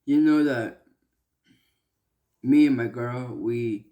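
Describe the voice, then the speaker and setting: A young man from Malaysia talking earnestly in a personal vlog, halting and restarting with many fillers. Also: medium pitch, mellow. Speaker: vlogger.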